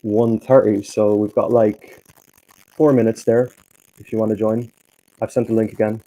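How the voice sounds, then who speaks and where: A man hosting a casual live stream, slightly hoarse and halting, with many restarts. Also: fairly low pitch, flat delivery, dark tone, rough recording. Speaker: stream host.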